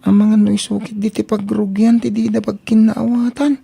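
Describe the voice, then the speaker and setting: Meek, soft-toned young Filipino helper played by a woman. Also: medium pitch, flat delivery, normal speed, vocal fry, slightly rough. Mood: hesitant, sheepish. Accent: Filipino (Ilocano). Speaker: radio drama actor. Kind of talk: Ilocano comedy radio drama acting.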